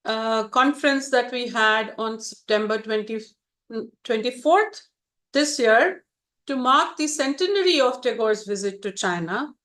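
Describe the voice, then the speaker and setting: A woman chairs an online seminar and introduces the next speaker. Her voice sits fairly high. She talks very slowly, in careful, formal phrases with pauses. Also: lively intonation, a bit bright. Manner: slow and deliberate, measured academic phrasing. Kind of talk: formal introduction on a video call. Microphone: video call.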